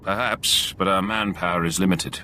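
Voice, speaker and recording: Sharp, low, terse military sergeant. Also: male voice, steady tone, moderate pace, a little hiss or hum. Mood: matter-of-fact. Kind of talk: video game voice acting.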